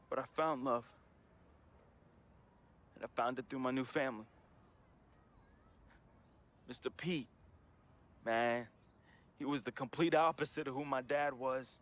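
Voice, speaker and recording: A man's voice: on the high side, close to an everyday voice. An actor playing a troubled, grieving young American man reading a farewell letter to his friend in an emotional, slangy, confessional voice-over. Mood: bleak, despairing. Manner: emotional, slangy, heartfelt urban American delivery. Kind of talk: dramatic confessional voice-over monologue. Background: music.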